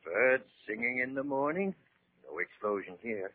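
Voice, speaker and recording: A man's voice: medium pitch, lively intonation, moderate pace, slightly rough. An actor playing a chatty, genial, neighbourly village man in a 1940s radio drama. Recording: narrow-band noisy 1940s radio recording.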